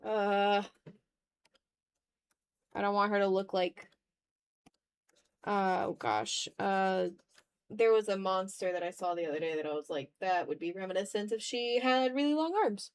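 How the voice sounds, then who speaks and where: A woman's voice: medium pitch, a little slow, long pauses, smooth. A woman chatting casually on a live art stream as she draws, playful and a bit dramatic, with offhand swearing. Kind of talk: casual live-stream chat while drawing.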